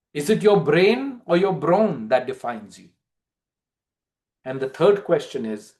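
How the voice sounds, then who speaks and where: A man telling a story to a group in a theatrical way, with deliberate pauses. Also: high-pitched. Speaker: storyteller giving a dramatic reading. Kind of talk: dramatic storytelling to a book club.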